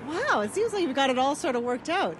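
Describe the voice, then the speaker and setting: Woman, high voice, morning TV host, upbeat chat, faint street noise behind. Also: sing-song, fairly quick. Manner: upbeat, chatty. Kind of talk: breakfast TV interview.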